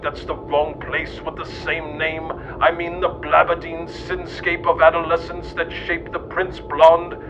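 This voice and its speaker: A middle-aged man reading scripted comic narration like a storyteller, in a mock-grandiose, theatrical manner. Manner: mock-grandiose, theatrical delivery of absurd text. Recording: dull lo-fi sound with rumbling noise.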